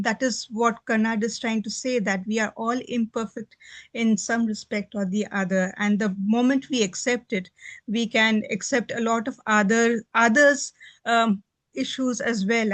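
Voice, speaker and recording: Woman, slightly high voice, dry sound, careful hesitant phrasing, discussion response. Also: steady tone, normal speed, no room sound. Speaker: book club participant, teacher.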